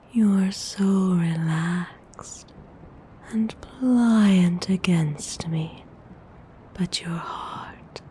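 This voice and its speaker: A tender, possessive vampire noblewoman, played by a woman whispers very slowly in an ASMR roleplay. Her breathy voice is soft and mellow, coaxing and intimate. A faint noise sits behind the whisper. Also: fairly low pitch. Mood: calm, coaxing. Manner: soothing, slow, intimate coaxing.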